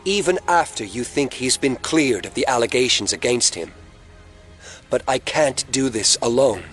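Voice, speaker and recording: An actor playing a scheming telekinetic young man in an audio drama, speaking in a measured, controlling and ominously earnest way. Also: medium pitch, animated, a bit bright, faint music behind, close to an everyday voice. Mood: grave, confiding.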